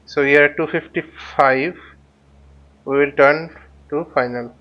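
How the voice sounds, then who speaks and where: A man hosting a flight simulator tutorial, thinking aloud in short, halting phrases as he casually walks through what he is doing. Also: on the high side, fairly even intonation, sharp tone. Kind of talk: casual spoken walkthrough while flying a simulator. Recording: dull, lo-fi microphone sound.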